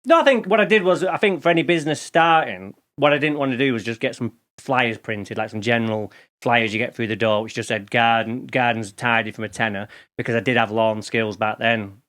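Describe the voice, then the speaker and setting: A man's voice: mid-range voice, a little fast, fairly bright tone. A man in his forties with a Lancashire accent, a podcast guest telling candid, rambling stories about his working life. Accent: Lancashire English. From England. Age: 40s. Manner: rambling, candid storytelling with restarts. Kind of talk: casual interview chat.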